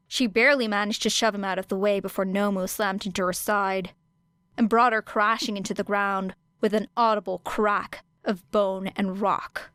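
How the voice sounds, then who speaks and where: A woman reading a fan fiction aloud as an audiobook, in a steady, measured way. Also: medium pitch, smooth, no room sound. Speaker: podfic reader.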